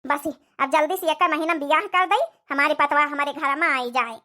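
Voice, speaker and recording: Super high bright cartoon village auntie, fretful n gossipy, awadhi accent. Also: female voice, normal speed. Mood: anxious relief. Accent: Awadhi, rural north India. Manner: dramatic, repetitive rustic chatter. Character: fretful, gossipy village mother-in-law, dramatic and talkative. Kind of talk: cartoon comedy dialogue.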